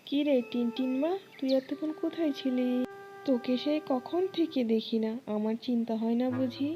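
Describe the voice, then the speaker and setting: A woman's voice: high-pitched, normal speed, lo-fi sound, slight background noise, close to an everyday voice. A woman voicing a worried, tender mother bird in a cartoon, with anxious motherly questioning. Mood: concerned, coaxing. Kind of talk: cartoon storytelling dialogue. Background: music.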